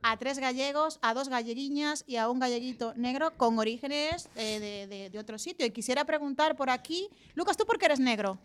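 A fairly high-voiced woman hosts a radio show from the studio. She teases her young guests playfully and breaks into laughter often. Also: normal speed, few pauses, clean tone. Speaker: radio host. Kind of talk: casual radio interview with children.

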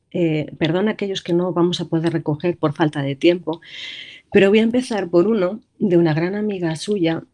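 A woman's voice: fairly low pitch, mellow. Tearful woman with a trembling voice. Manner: halting, many restarts, nervous laughs. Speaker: radio show voice-over announcer. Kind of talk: emotional tribute on live radio.

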